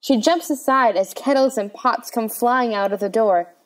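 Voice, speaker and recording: A woman's voice: a little high, normal speed, poor audio. A woman narrating stage directions from a script for a storybook play.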